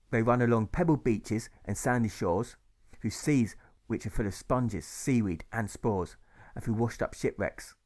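A man's voice: medium pitch, a little mellow. A man narrating his own rhyming novel, reading with a rhythmic lilt that stresses the rhymes.

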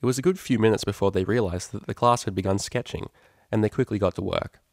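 A man's voice: fairly low pitch, fast talker, vocal fry, a little mellow, no room sound, studio quality. A man reading a scripted story aloud as an audiobook narrator. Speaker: audiobook reader.

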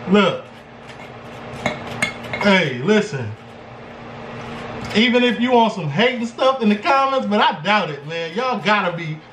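An American man from Chicago in his thirties, chatting casually and boastfully in slang as an animated vlog host in a home kitchen. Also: high voice, measured pace, long pauses. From Chicago, United States. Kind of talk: casual excited cooking vlog chat. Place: small home kitchen, indoors. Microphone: camera, handheld or on the counter. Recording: echoey kitchen, clearly noisy background.

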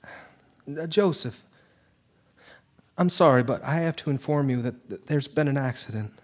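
Nervous, hesitant townsman played by a male actor, rough-edged husky voice, stammering delivery, audio drama. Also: medium pitch, expressive. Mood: uneasy, sorrowful. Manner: stammering, halting. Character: nervous, hesitant small-town man, anxious to placate. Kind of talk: live audio drama acting.

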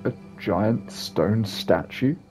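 A man's voice: fairly low pitch, drawn-out words, over music. A man giving casual let's-play commentary, laid-back and muttering asides to himself. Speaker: gaming video creator.

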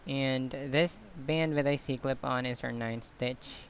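A man giving a quiet step-by-step craft tutorial, counting stitches as he works off camera. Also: mid-range voice, fairly even intonation, a little hiss or hum. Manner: slow, quiet counting while working with hands. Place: indoors at a table. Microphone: camera on hands, speaker off screen.

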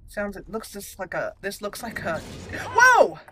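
A woman's voice: medium pitch, huge pitch swings, a little slow, bright, forceful tone. A woman hosting a casual let's play, chatty and reactive, thinking aloud as she plays. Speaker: gaming channel host.

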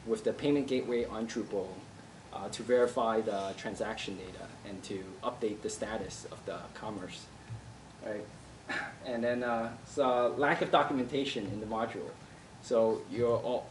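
A young man giving a casual tech talk at a meetup, with many fillers and pauses. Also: medium pitch, a little hiss or hum. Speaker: meetup presenter. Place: office meeting room with audience. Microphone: far camera. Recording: roomy sound from far off.

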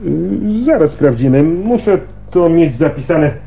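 An actor playing an obliging, talkative pawnshop owner in a radio crime drama, chatty and helpful. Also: male voice, on the high side, lively intonation, a little slow, vocal fry, a little hiss or hum. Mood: helpful, busy. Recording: muffled radio recording, faint ambience.

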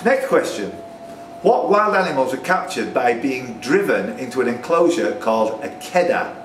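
A man's voice: mid-range voice, sing-song, moderate pace, background noise. An older man, reading out pub quiz questions as quizmaster and bantering with the players. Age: older, around 60s. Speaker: quiz master. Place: pub, indoors. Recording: echoey pub, distant mic, music behind.

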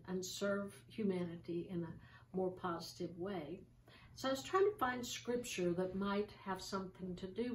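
Older woman, gentle chatty devotional host, sits back from the camera in a roomy space with faint hiss. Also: mid-range voice. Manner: gentle, conversational, with many ums and restarts. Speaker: host of an online church show. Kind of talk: informal devotional talk with scripture reading. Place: small room with curtains, seated in an armchair. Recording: roomy, distant home recording with faint hiss.

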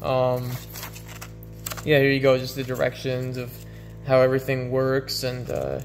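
A man's voice: medium pitch, monotone, a little slow, noisy background. A man giving casual, unscripted vlog commentary in a laid-back, rambling way, recorded on a handheld phone in a garage. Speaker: vlogger.

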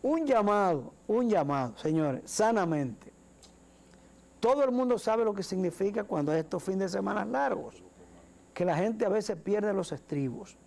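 A man's voice: high-pitched, lively intonation, a little slow, gravelly, a little mellow. A man in his forties with a Dominican accent, co-hosting a studio talk show with earnest commentary. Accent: Dominican Spanish. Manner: earnest, gestures while speaking, repeats words. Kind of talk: political commentary. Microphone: lapel mic.

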